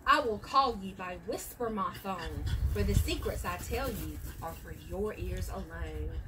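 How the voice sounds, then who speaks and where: A woman voicing a secretive old recluse telling his storybook tale in a drawn-out, dramatic manner. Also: lowish voice, animated, very noisy, pitched below the performer's own voice. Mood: secretive, wistful.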